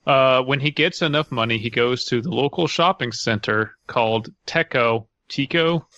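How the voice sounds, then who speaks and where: A man with a bright, forward voice of average pitch co-hosts a casual podcast. He banters and reads aloud, recorded very dry and close. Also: moderate pace, no room sound, lo-fi sound. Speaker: podcast co-host.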